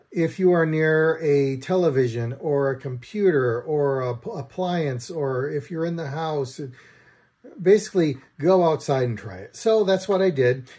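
A man's voice: a little high, warm tone. A middle-aged American man presenting a product review to camera with wry, dry humour, recorded in a room at home. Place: small room at home, indoors.

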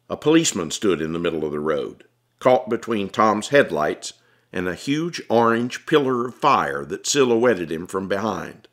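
A man's voice: deep voice, a bit bright. A man reading his own story aloud as scripted, dramatic audiobook narration.

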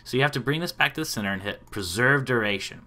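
A man in his thirties hosts a software tutorial. His voice is medium in pitch with a bright, forward edge, and he explains things casually. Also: expressive, fast. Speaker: tutorial host.

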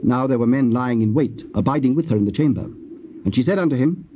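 A man narrating scripture as part of an audio drama, solemn, measured and formal. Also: medium pitch, expressive. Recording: dull, faintly noisy cassette recording.

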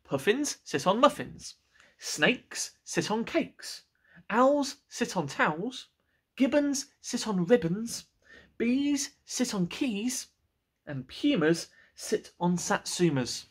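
A bossy cat from a picture book, acted out by a man. The delivery is emphatic and insistent, slowly drawn out with a lively, swooping pitch. It sounds stubborn and sure of its rules. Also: slightly rough, heavily acted. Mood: exasperated, instructive. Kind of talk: children's picture book read aloud.

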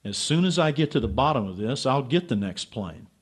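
Man playing a brash amateur sleuth, animated delivery. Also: mid-range voice, a little fast, slightly rough. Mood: indignant, sarcastic. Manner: insistent, sarcastic. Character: stubborn, brash American male writer turned amateur sleuth. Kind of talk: radio drama acting with narration.

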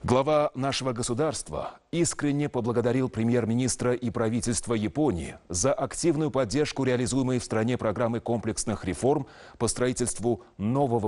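A man in his thirties reading scripted news as an anchor in a formal, official, measured manner. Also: mid-range voice, darkish tone. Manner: measured, official, clearly enunciated delivery. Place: television news studio.

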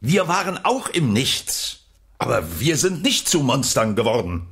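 A man's voice: medium pitch, sing-song, measured pace, a bit bright. A man playing a grave, resolute military general in a children's science-fiction audio drama, speaking with dramatic emphasis.

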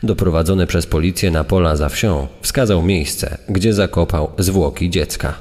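A man's voice: low voice, moderate pace, darkish tone. A man reading a scripted crime report as a narrator. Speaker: reader of newspaper report. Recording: long hall-like reverb.

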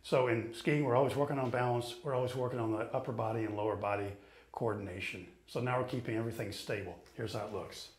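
A middle-aged man coaching ski fitness, explaining each exercise calmly while he demonstrates it in a studio. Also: medium pitch, fast talker. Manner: patient, explanatory, talks while moving.

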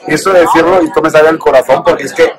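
A man's voice: on the high side, fairly even intonation, rough recording. 40s guy gushing into his phone in a packed bar, lots of chatter behind. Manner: emphatic, halting with restarts. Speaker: vlog host. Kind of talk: casual chat. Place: busy indoor bar. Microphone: phone held at arm's length. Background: crowd chatter.

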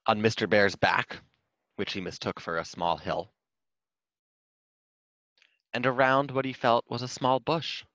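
A man's voice: lowish voice, fairly even intonation, measured pace, long pauses, a bit bright, no room sound, lo-fi sound. A man reading a children's storybook aloud in scripted narration. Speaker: storybook reader.

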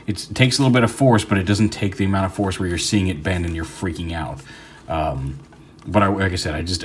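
A man's voice: low-pitched, slight background noise. A man talking casually through a hands-on toy review, rambling with fillers and restarts, recorded indoors at a tabletop.

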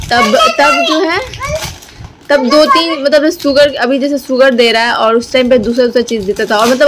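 A woman's voice: on the high side, slight background noise. A young woman chatting casually to viewers at home while she unboxes groceries with her child, in a loose, repetitive way. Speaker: vlogger and mother. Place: indoors at home.

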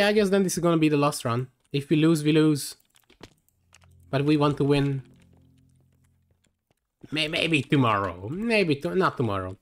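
A man's voice: a little high, fairly bright tone. A young man from Hungary with a Hungarian accent, streaming a game live and chatting in a rambling way with lots of fillers, tired late in the session. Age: young adult.

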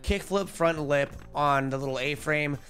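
A young man in his twenties streaming a skateboarding game, chatty and energetic, calling out his tricks, a bit hoarse. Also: on the high side, measured pace, faint music behind. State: losing his voice. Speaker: gaming streamer. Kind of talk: live gameplay commentary. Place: home streaming room. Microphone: headset.

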